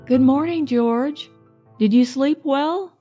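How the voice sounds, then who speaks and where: A lonely young city woman, as a woman reader acts her, speaks softly and slowly in an audiobook story. She is quiet and wistful, yet friendly to strangers. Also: high voice, soft background music, voice pushed up. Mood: gentle. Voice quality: soft, quiet.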